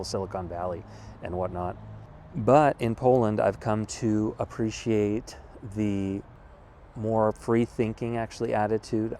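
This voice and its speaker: An American man in his thirties, a vlogger talking casually and thoughtfully outdoors, pausing often and restarting his sentences. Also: low voice, dark tone, a little hiss or hum. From California, USA. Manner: thoughtful, measured, with pauses and restarts. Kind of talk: casual reflective monologue. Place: outdoors in a wooded city spot.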